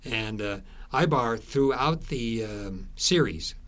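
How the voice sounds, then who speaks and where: A man being interviewed as an author, telling stories conversationally in halting, explanatory phrases with thoughtful pauses. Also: medium pitch, animated, a bit bright.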